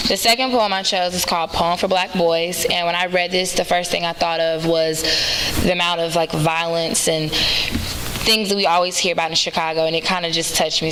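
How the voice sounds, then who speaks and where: A young woman reading poems aloud at a university read-in, slow and deliberate in her recitation. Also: fairly low pitch. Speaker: student reader. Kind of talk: poetry reading at a public read-in. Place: university event hall. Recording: hissy event recording with long hall reverb.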